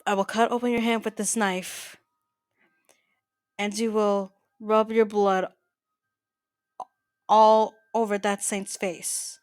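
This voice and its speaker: A woman playing an older mafia boss in an ASMR roleplay, soft-spoken, possessive and quietly menacing, with a halting, intimate delivery. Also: medium pitch, level tone, smooth, no room sound, clean studio sound, close to an everyday voice. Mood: solemn, commanding. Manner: halting, stammering, intimate address to the listener.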